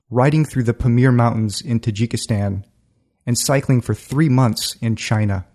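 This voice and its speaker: A male podcast host with an upbeat, friendly manner. He reads a scripted introduction, then moves to interview questions, with a voice of middling pitch. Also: dark tone.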